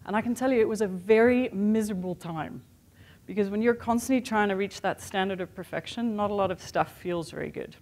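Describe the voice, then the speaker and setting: A woman's voice: mid-range voice, brisk, darkish tone. A woman with a mixed international accent gives a motivational keynote. She talks in a candid, chatty way, full of small fillers. The sound comes from a hotel conference room. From born in the United States, raised in Europe and Asia. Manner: conversational and candid, with many "sort of" and "you know" fillers. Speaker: keynote speaker.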